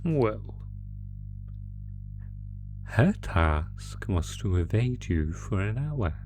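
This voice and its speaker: Man voicing a deep, slow, gloating sadistic monster. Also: very animated, music in the background, full character voice. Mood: mocking, toying. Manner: theatrical, taunting, drawn-out delivery. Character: sadistic male monster gloating over captive prey. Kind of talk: ASMR horror audio roleplay.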